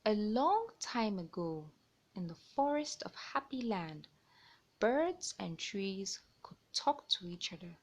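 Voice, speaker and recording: Slow-paced woman reading to children. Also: mid-range voice, big pitch swings. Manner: simple, deliberate explaining to young listeners. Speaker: host of a children's read-aloud show. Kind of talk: children's storybook read-aloud.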